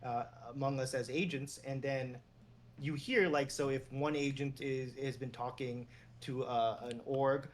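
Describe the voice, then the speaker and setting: A man from New York City talks things through in a loose, easygoing way, circling back on his thoughts and chuckling now and then. His voice sits at an ordinary middle pitch. A faint hum of noise runs underneath. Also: measured pace. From New York City, USA. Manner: relaxed, meandering, chuckles while talking. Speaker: esports agent and former team general manager, guest. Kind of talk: casual interview conversation.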